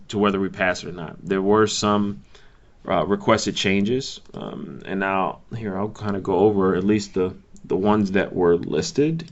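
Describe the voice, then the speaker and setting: Low-pitched man chairing a muffled call. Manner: halting, hedging, thinking aloud. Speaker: meeting chair leading a conference call. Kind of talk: informal meeting update. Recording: dull lo-fi conference call audio.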